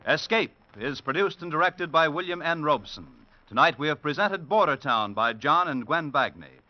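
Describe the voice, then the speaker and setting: A man's voice: a little high, expressive, normal speed, faint music behind. A man with an American accent reading scripted announcements in 1940s radio style. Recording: muffled, dry old radio recording.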